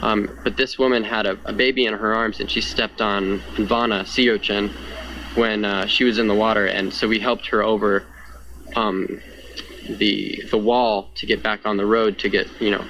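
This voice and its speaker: A young man with a slightly low voice talks through his own experience as a disaster survivor. He is earnest and conversational, peppering his account with "like". There is clear noise behind him. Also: flat delivery, moderate pace, fairly bright tone, poor audio. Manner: earnest, conversational, frequent 'like'. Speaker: fire survivor being interviewed. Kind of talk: casual personal account in an interview.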